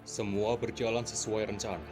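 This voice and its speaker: A man's voice: lowish voice, level tone, moderate pace, music in the background, lightly acted. A man playing a confident, skeptical leader who commands his men in a comic dub. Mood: calm, commanding. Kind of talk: Indonesian comic dub acting.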